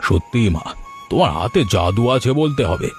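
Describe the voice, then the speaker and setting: A man acts out an emphatic village patriarch from a cartoon folktale. His mid-pitched voice swings widely as he blusters like a protective uncle. Music runs underneath. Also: very animated, normal speed, close to an everyday voice. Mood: hearty. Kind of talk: Bengali cartoon folktale acting. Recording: music bed behind the voice.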